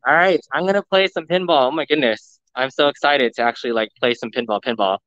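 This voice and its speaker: A man livestreaming a walkthrough of a pinball showroom, excitedly talking to his viewers, recorded on a phone indoors. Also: mid-range voice, fast talker, no room sound, muffled recording. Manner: excited, enthusiastic, addressing viewers. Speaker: vlogger, live streamer. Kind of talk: live walkthrough commentary. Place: large indoor arcade showroom. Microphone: phone camera.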